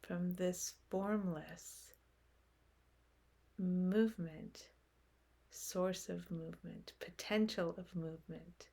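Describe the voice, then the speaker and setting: A woman leading a guided meditation in a gentle, unhurried manner, speaking in short phrases with long pauses. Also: fairly low pitch, fairly even intonation, gravelly, breathy edge.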